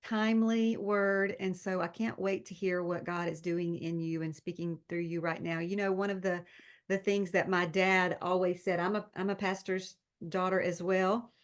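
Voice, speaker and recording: A woman's voice: fairly low pitch, steady tone, moderate pace, clear, resonant tone. A woman pastor hosting a faith podcast, chatting in an encouraging, upbeat way. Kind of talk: casual faith podcast chat.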